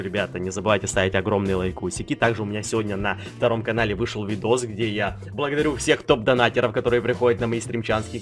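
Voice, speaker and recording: A young man hosting a game video at home on a headset mic, talking loud and playful, a bit stuffy with a cold. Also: mid-range voice. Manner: loud, playful, quick exclamations. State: has a cold, stuffy nose. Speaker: gaming YouTuber host. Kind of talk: energetic Let's Play chat. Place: home streaming room, indoors. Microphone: headset mic, webcam visible.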